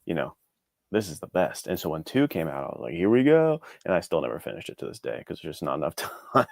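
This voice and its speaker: A man's voice: sits low, sing-song, quick pace, vocal fry, darkish tone, no echo, clean studio sound. A man chatting casually as a podcast guest, informal and slangy, a little self-deprecating. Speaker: guest, indie adventure author. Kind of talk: casual chat.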